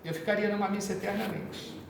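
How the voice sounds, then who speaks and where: A Brazilian priest with a São Paulo accent teaches catechists in an animated, chatty way, full of asides and jokes. He stands well back from the mic, and his voice rings through a very echoey parish hall. A faint hiss sits under it. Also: high-pitched, a little fast, far from the mic. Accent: Brazilian Portuguese, São Paulo. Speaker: priest giving catechist training.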